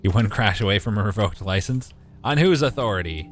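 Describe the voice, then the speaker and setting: A man in his twenties with a deep voice gives casual, wry live gaming commentary and talks back to his chat. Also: animated, fairly quick, faint music behind. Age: 20s. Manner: wry, joking, mild swearing, talks to chat. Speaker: game streamer.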